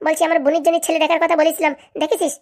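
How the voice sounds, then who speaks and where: Casting: woman to play domineering cartoon wife, high creaky voice, relentless scolding. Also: level tone, darkish tone. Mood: scolding, contemptuous. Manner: scolding, accusatory, rapid-fire haranguing. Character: bossy, nagging, domineering wife of a village politician. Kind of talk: comic cartoon voice acting.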